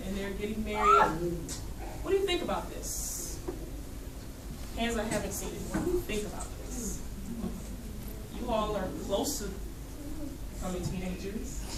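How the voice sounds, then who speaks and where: Female teacher, probing and directive, echoes answers back to the class; distant mic in a noisy, roomy space. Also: mid-range voice. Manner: probing, repeats answers back, calls on students by name. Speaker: teacher leading a class discussion. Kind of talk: classroom read-aloud discussion, questioning students.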